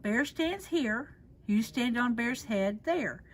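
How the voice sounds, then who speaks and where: A woman plays a scheming, smooth-talking fox from a storybook. He is smug and pompous, talking down to others in slow, theatrical phrases pitched on the high side. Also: expressive. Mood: coaxing.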